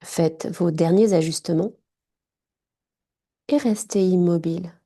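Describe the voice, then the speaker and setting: A woman guiding a yoga nidra relaxation in short, hushed, soothing phrases. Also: on the low side, slow pace. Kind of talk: guided meditation.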